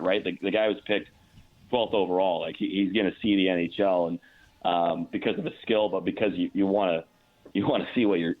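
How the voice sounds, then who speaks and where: An American man giving analysis as a guest announcer in a sports radio interview, thinking aloud with repeated words. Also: on the low side. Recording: dull, narrow-band phone-like line.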